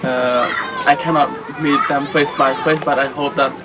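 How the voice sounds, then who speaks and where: A man from Cambodia with a Cambodian accent, giving an earnest message in halting non-native English. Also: medium pitch, fairly even intonation, loud music behind the voice. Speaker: refugee interviewee. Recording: noisy outdoor location sound.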